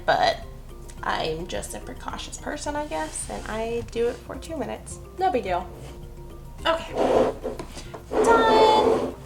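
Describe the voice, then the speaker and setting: A woman's voice: a little high, creaky. A woman hosting a nail art tutorial, talking through each step in a chatty, rambling way with easygoing asides about herself. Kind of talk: casual how-to narration.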